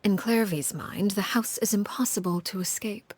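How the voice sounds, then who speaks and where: An actress playing a cold, commanding woman who rules an orphan household, speaking in a low, husky, hushed and measured way in a video game. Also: dry recording, natural voice. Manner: calm, measured, menacing.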